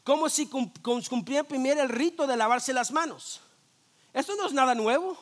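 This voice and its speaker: A man, a pastor, preaching a sermon in a lively, conversational way, full of rhetorical questions, jokes and quick restarts. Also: extremely high pitch. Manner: frequent restarts and repeated words.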